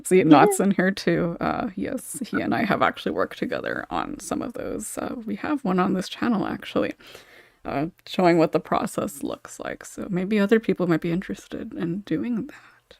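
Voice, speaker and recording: A woman moderates a casual podcast panel in a slightly low voice. Her intonation moves around a lot, with noticeable fry, and the sound is dry and close. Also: very animated, normal speed, darkish tone, dry recording.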